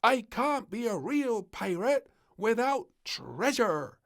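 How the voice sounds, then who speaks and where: A man's voice: very high voice, big pitch swings, slow, voice pushed up, heavily acted. A man voicing a cheeky, bossy little princess who plays at pirates in a children's storybook, exclaiming in a gleeful, childlike way. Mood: pouting.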